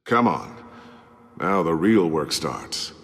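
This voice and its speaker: A man's voice: low-pitched, expressive, takes it slowly, a bit bright, long reverb tail, exaggerated voice. A man playing a proud, amiable inventor and loyal ally in a video game. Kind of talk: dramatic video game acting.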